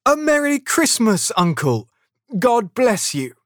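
A narrator voicing a cheerful, warm-hearted young nephew in a storybook, speaking earnestly and good-naturedly. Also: mid-range voice, lively intonation, measured pace, no room sound, studio quality, voice pushed up, natural voice.

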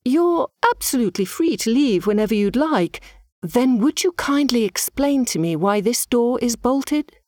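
Cast: woman voicing a fawning, flighty medium, high cooing sing-song, dry close sound. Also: expressive, measured pace, darkish tone, no room sound. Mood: flustered. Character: gushing eccentric female medium, fawning and flighty.

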